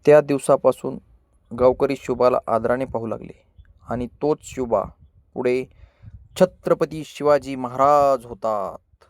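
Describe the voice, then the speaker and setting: A man tells a children's story as a scripted narrator. His voice sits at a middle pitch, and he sets out short phrases with measured drama. The recording is dry and close. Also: darkish tone, no room sound.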